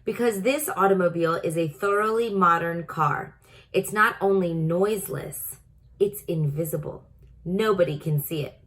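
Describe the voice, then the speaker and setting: A woman voicing a kindly, excitable male dentist who tinkers, in a storybook reading. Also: lowish voice, moderate pace, close to an everyday voice. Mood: explaining.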